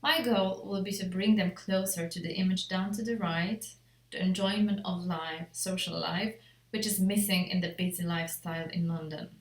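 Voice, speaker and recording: Echoey, lower-voiced woman presenting a design project. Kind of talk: scripted presentation narration. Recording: echoey untreated room.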